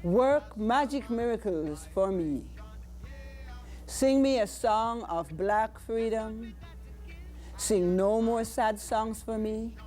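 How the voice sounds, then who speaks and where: An older Jamaican woman reciting poetry in a solemn, measured, declamatory manner. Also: fairly high pitch, mellow, soft background music. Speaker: poet.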